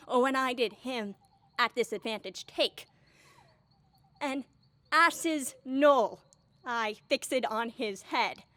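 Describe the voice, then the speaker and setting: A woman's voice: high voice, extremely slow, long pauses, a bit bright, no room sound, exaggerated voice. A woman playing a boastful, mischievous fairy sprite servant in a Shakespeare audio drama, with playful theatrical relish. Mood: gleeful, boastful. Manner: theatrical, playful, gleeful storytelling.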